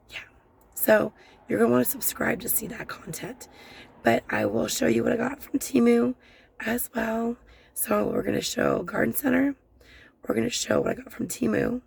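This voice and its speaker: Hoarse woman vlogger, gravelly, chatty and rambling, faint hiss behind her. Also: medium pitch, darkish tone. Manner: chatty and rambling, many fillers. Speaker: gardening vlogger. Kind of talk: casual chat, unboxing.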